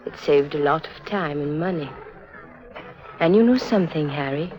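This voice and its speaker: Muffled 50s radio play, woman acting lonely earnest teen circus orphan girl, lowish voice, confiding. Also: normal speed, soft background music, natural voice. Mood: bitter, grave. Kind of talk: 1950s radio drama acting. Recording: muffled vintage 1950s radio recording.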